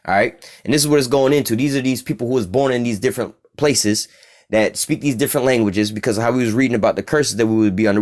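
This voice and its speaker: American guy teaching the bible, cussing, streetwise, mid-pitched voice. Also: darkish tone. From United States. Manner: profane, streetwise, keeps asking "all right?". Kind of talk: informal bible study teaching.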